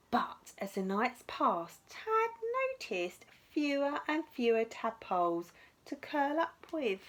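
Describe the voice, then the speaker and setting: A woman teacher reading a storybook aloud to young children in an expressive, dramatic way, with asides to the listeners. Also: on the high side, creaky, breathy edge.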